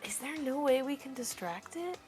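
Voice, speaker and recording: A woman's voice: sits high, expressive, normal speed, darkish tone, soft background music. A woman playing a tabletop game in casual, informal chat, often thinking aloud.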